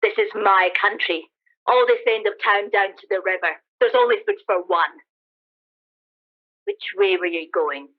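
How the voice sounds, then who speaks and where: A woman's voice: mid-range voice, a little slow, lightly acted. A woman acting a cynical, defiant soldier survivor in a radio play, speaking in an emphatic, colloquial way. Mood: wary, territorial. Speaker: amateur actor in a radio play. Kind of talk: dramatised radio play dialogue. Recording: thin phone-like band-limited sound.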